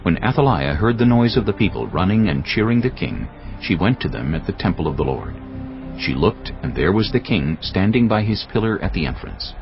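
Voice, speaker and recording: A man narrating scripture in a measured, solemn storytelling style, as in a dramatized audio Bible. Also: low-pitched, expressive. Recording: slightly muffled, music behind. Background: background music.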